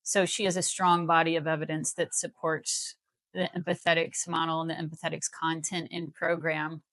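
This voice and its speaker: A woman's voice: low voice, little pitch movement, normal speed, fairly bright tone, dry recording. An American woman explaining things in a live interview as a guest, in an emphatic, persuasive manner. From Missouri, United States. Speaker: healthcare company CEO, interview guest.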